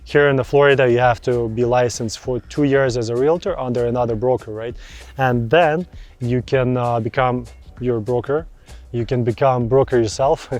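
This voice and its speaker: A man in his thirties with a Russian accent talks through viewer questions about buying property. His pitch sits in an ordinary middle range, and his speech is loose and friendly, full of repeated words and non-native phrasing. Faint music plays softly underneath. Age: 30s. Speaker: real estate broker. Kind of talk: casual answers to viewer questions.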